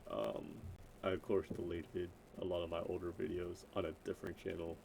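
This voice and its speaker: A deep-voiced young man thinking aloud in a solo gaming channel intro, hesitant and meandering, with faint noise in the background. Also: level tone, a little fast, creaky, a little mellow, rough recording. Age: young adult. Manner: rambling, hesitant, self-interrupting. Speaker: gaming vlogger introducing himself. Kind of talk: unscripted casual chat.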